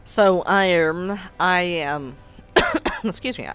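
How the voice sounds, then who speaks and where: A man hosting a let's play, hoarse and froggy after being sick, making wry, sarcastic asides over the game. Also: high-pitched, expressive, slow pace, a bit bright. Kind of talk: casual game commentary. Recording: faint game music behind.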